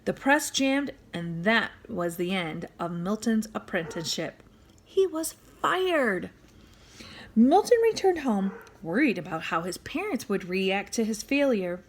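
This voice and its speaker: A woman reading a children's book aloud as an expressive storyteller, speaking directly to young listeners. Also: medium pitch, a little slow. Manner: animated, addresses young listeners with questions and asides.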